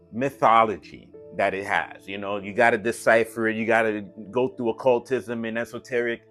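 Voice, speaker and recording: A man with a medium-pitched voice delivers an impassioned spiritual lecture in slangy, profanity-laced speech. He moves between reading aloud and blunt commentary, hammering phrases through repetition. Music plays behind his voice. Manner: streetwise, slangy, profane, emphatic, repeats phrases for effect. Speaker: spiritual teacher reading and commenting on an esoteric book. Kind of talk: impassioned informal lecture with read-aloud passages.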